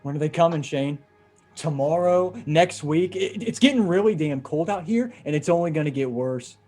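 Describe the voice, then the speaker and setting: A man's voice: a little high, normal speed, a little mellow, close to an everyday voice. A man playing an earnest, worried survivor leader. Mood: urgent, worried. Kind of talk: dramatic table read of a horror comic. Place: remote video call read. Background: music under the voice.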